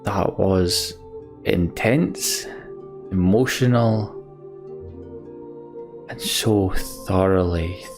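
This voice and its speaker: A man hosting a let's play, commenting and reading game text aloud in an unhurried, reflective way. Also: sits low, darkish tone, faint music behind. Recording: slight background noise.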